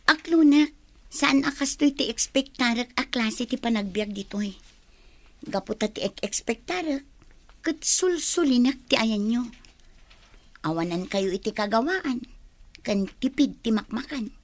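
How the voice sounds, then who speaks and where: A high-pitched young female character, played by a woman for radio drama. She is outspoken and headstrong, with emphatic phrasing and a plaintive edge. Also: expressive, a little slow, pauses often. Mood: teasing complaint.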